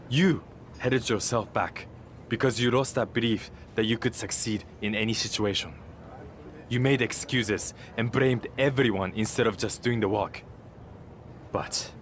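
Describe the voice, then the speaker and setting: A man's voice: lowish voice, slight background noise, close to an everyday voice. A man playing a proud, confident masked luchador with a blunt, assured manner in a scripted video game cutscene.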